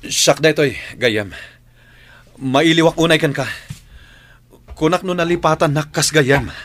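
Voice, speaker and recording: An actor with an Ilocano Filipino accent playing a fatalistic, defiant fugitive in a dramatic radio play. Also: male voice, fairly high pitch, a little slow, fairly bright tone, a little hiss or hum. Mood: agitated. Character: fatalistic armed male fugitive, defiant toward his friend. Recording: old AM radio drama, music underneath. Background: dramatic music.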